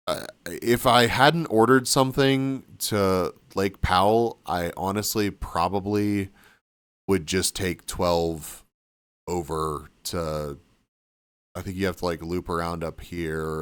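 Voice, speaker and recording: A man with a deep, low voice talks loosely to his live stream chat, rambling and trailing off. He sounds sniffly and a little hoarse, like he's losing his voice. The sound is dry and close. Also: steady tone, slow pace, a bit bright, dry recording. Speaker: livestreamer. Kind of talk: casual chat with stream viewers. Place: cabin room.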